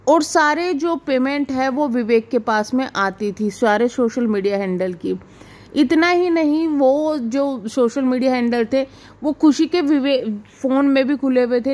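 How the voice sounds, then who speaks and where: High-pitched woman giving gossip commentary. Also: a little mellow. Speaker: reaction video host. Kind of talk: informal gossip commentary to viewers.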